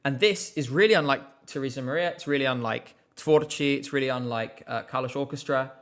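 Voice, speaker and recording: A man's voice: medium pitch, fairly quick, fairly bright tone. A man hosting a casual live stream, chatting in a rambling way with lots of fillers and a slight cough. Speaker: livestream host.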